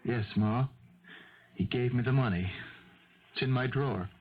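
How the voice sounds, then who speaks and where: An actor playing a defensive, hot-tempered man in his twenties in a 1970s radio drama, stammering and excitable. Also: mid-range voice, measured pace, natural voice. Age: 20s. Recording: muffled 1970s radio broadcast.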